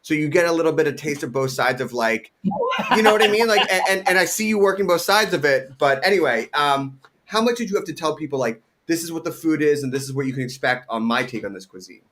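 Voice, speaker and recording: A man's voice: a little high, expressive, quick pace, a bit bright. A man hosting a radio interview, asking long rambling questions full of fillers, with an eager, chatty manner. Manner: rambling, filler-heavy, enthusiastic. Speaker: radio show host. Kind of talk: casual interview talk.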